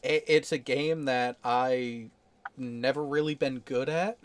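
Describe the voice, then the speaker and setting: A man's voice: mid-range voice, fairly even intonation, slow pace, smooth. A man hosting a casual podcast chat, explaining things and giving opinions in an easygoing way. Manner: chatty, explains things, opinionated about sports.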